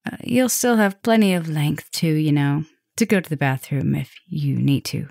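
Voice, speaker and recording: Casting: woman to play female vampire professor for ASMR roleplay. Lowish voice, close and dry, caring soft-dominant mistress, gentle with breathy dips. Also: normal speed, no room sound. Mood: playful, reassuring. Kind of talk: ASMR roleplay, speaking intimately to the listener.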